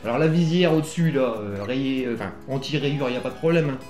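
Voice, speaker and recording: A man's voice: medium pitch, fairly quick, darkish tone. Bossy, joking man in an echoey room. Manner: bossy, teasing, coarse joking. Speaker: vlog host. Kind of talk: casual motorcycle gear unboxing chat to camera. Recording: echoey room with music behind.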